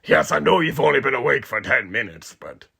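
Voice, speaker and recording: A man voicing a sinister older killer inside a haunted animatronic, rambling and theatrical with a raspy, gravelly voice, in a roleplay monologue to the listener. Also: mid-range voice, animated, a little fast, pushed and bright, heavily acted. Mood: mock-friendly menace. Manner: rambling, theatrical, mock-friendly.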